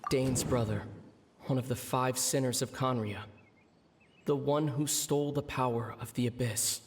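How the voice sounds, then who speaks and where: An actor playing a brooding young male traveler who mutters his thoughts aloud slowly, as if pondering. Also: medium pitch, fairly even intonation, background noise, lightly acted. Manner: slow, pondering, muttering to himself.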